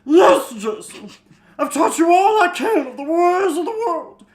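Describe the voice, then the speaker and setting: Fantasy mentor, acted by an amateur reader: extremely high voice, swooping and emphatic, earnest, roomy echoing recording. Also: big pitch swings, unhurried, heavily acted. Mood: encouraging. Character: Noblin, earnest mentor sending a young hero off.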